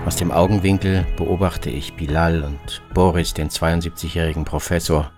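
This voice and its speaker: Male documentary reporter, low register, laconic scripted narration over clearly audible music. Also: steady tone, normal speed. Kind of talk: scripted first-person travel narration. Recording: music behind the voice.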